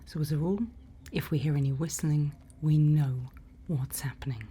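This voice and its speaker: Female, low soft whisper for ASMR roleplay; slow, reassuring guide, faint ambient noise. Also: fairly even intonation. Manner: gentle, unhurried, reassuring instruction. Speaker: ASMR roleplay performer playing a night watch guide.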